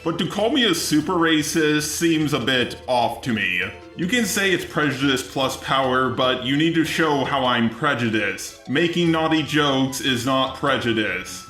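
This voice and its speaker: Sharp-voiced man, argumentative video commentary, sarcastic and indignant, echoey room, faint music. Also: mid-range voice, expressive, a little slow, hardly any pauses. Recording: roomy echo with music underneath.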